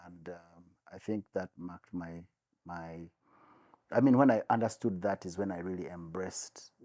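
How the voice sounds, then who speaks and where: A man from Uganda in his forties, a guest talking casually about his life, relaxed, with many fillers and laughs. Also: sits low, a little slow, no room sound, clean studio sound. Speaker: guest, advertising CEO. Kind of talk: casual interview chat.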